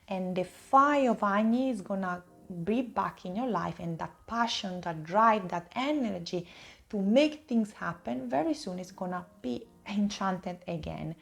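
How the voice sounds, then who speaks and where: A woman from Italy speaks English with an Italian accent in a fairly high voice. She hosts an improvised tarot reading, addressing viewers directly. Her delivery halts as she searches for words, and she often repeats phrases for emphasis. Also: creaky. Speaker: tarot reader, host.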